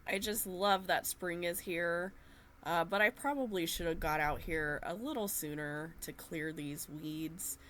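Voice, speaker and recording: A woman narrating her gardening video in a gentle, unhurried way with frequent fillers, her voice slightly nasal from a cold. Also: lowish voice, slight background noise. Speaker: gardening vlogger.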